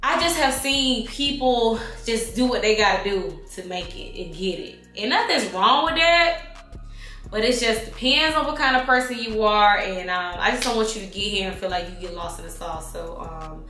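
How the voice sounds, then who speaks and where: Bright-voiced woman vlogger in an echoey room. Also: medium pitch, lively intonation, normal speed, faint music behind. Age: 30s. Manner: candid, slangy, calls viewers honey and baby. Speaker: vlogger answering viewer questions. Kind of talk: casual Q&A vlog.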